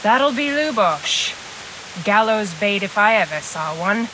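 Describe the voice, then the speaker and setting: A woman's voice: mid-range voice, expressive, a little slow, pushed and bright, low-quality recording. An actress playing a blunt, helpful medieval village woman in a video game. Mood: wry. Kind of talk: video game dialogue acting. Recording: clear hiss behind voice.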